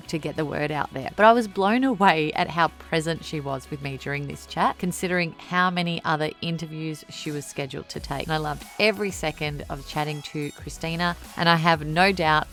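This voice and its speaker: A woman's voice: low-pitched, a bit bright. A woman hosting a podcast, introducing her guest and then chatting in a friendly interview. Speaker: podcast host.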